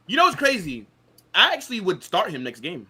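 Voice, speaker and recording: A man's voice: high voice, huge pitch swings, fairly quick, crowd noise. A man in casual, opinionated football chat, hosting with slangy banter and emphatic rhetorical questions. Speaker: football show host and streamer.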